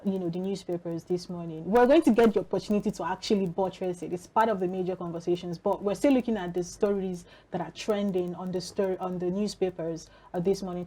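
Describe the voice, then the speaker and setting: A woman's voice: mid-range voice, dark tone, rough recording. A woman with a Nigerian accent hosting a morning news show, speaking in an emphatic, conversational way. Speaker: TV host.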